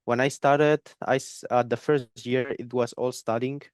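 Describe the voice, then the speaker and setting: Young male guest, clear resonant tone, dry close sound, halting delivery with fillers. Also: medium pitch, fairly even intonation, no room sound. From Spain. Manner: hesitant, repeats words, many fillers. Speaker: guest trader. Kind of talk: interview conversation.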